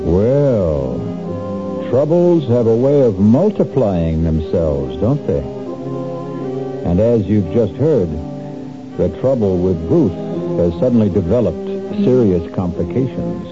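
A man hosting and narrating a 1970s radio mystery show in a measured, grave manner. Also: lowish voice, very animated. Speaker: host narrator. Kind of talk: scripted radio drama narration. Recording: lo-fi old radio recording over music.

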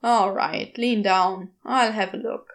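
A woman's voice: medium pitch, fairly even intonation, slow, creaky. A woman voicing a grumbling, sardonic male demon in a velociraptor's body, rambling and exasperated, in a storybook reading. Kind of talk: storybook character voice in audio fiction.

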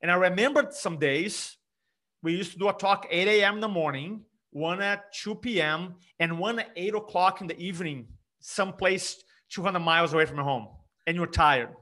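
A middle-aged man from Brazil with a Brazilian Portuguese accent, an energetic speaking coach talking emphatically in an interview. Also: high voice, normal speed. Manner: energetic, emphatic, frequent restarts. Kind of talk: conversational interview.

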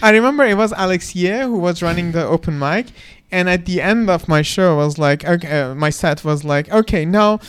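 High-voiced man, clear, casual comic storyteller. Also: fairly quick, hardly any pauses. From Iran. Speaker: comedian and podcast co-host. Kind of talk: casual chat with comic anecdotes. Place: comedy club.

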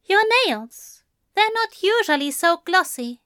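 A woman's voice: very high voice, sing-song, a little slow, sharp tone, no room sound, studio quality, pitched above the performer's own voice, heavily acted. A woman voicing a prim, wounded male angel with a huffy, frosty manner in an audiobook story. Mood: huffy, sniffing. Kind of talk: fan fiction audiobook character voice.

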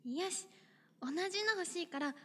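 A woman's voice: very high voice, expressive, normal speed, bright, forceful tone, full character voice. A woman voicing a cheerful, friendly teenage schoolgirl who talks in a breezy, teasing way, in an audio drama. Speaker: voice actor.

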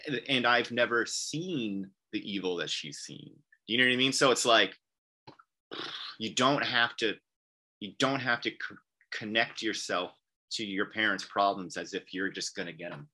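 Low bright-voiced man chatting loosely. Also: normal speed. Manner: rambling, repeats "you know what I mean"; quotes people in acted voices. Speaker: guest. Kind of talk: casual conversation.

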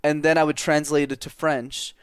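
A man's voice: a little high, flat delivery, normal speed, smooth, dry recording, clean studio sound. A young man from Montreal, a public speaking coach, talking energetically and directly in an interview, often repeating words for emphasis. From Montreal, Canada. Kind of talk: casual interview talk.

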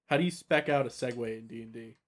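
Male podcast co-host, average pitch, casual banter, joking, slangy delivery. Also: quick pace. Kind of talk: casual chat.

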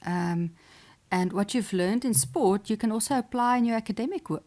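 A woman's voice: mid-range voice, normal speed. Earnest woman, interviewee. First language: Afrikaans. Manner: earnest, encouraging, with small restarts and soft laughs. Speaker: chess player and sports psychologist. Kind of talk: interview answers.

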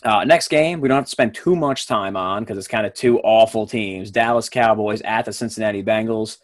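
A young man hosting a casual sports podcast, relaxed and quick to laugh, with plenty of fillers. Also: on the low side, dry recording, studio quality. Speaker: podcast host.

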